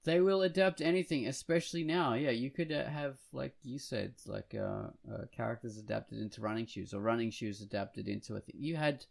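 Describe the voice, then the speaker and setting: A man's voice: medium pitch, fairly quick, vocal fry, hardly any pauses. A man co-hosting a podcast in casual, rambling chat, opinionated and blunt, with casual swearing. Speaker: podcast co-host.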